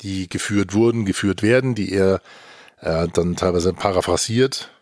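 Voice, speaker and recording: A man's voice: sits low, moderate pace, darkish tone, no room sound, clean studio sound. A man co-hosting a review podcast, analysing things conversationally and often searching for his words.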